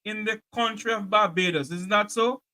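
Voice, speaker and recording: A man's voice: high-pitched, normal speed, pushed and bright. A man hosting a call-in talk show, pressing a caller hard and repeatedly cutting in with "sir.". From Jamaica. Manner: insistent, interrupting, repeats "sir". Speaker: radio call-in show host.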